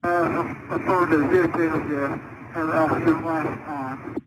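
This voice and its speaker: A man speaking as a pilot over aviation radio, giving halting readbacks and repeating his words. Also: on the high side, fairly even intonation. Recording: noisy cockpit radio transmission.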